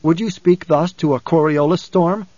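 A resolute young son and desert prophet played by a male audiobook reader speaks with grave, measured certainty. His voice sits a little high and never bends in its solemn manner. It has the dull, echoey sound of an old cassette. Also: dark tone, natural voice. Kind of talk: audiobook character acting.

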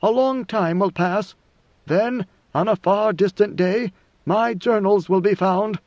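A man voicing a grave, ancient god-emperor in a storybook reading, with slow, solemn, oracular pronouncements. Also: high-pitched, a little mellow, no room sound, highs cut off, voice pushed up. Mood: solemn, prophetic.

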